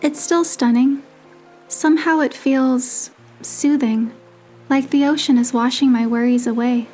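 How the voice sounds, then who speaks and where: A woman plays a young romantic heroine telling her own story. Her voice is high and gentle, measured in both narration and dialogue, over faint guitar music. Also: fairly even intonation. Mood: tender, curious. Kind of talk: scripted first-person narration and dialogue. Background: background music with guitar.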